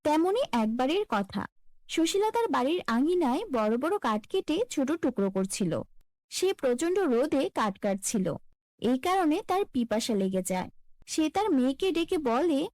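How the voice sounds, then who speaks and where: A woman tells a scripted fairy tale in a high voice. Her delivery rises and falls with lively melody, and the recording is dry, with no room sound. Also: moderate pace, no echo. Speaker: storyteller.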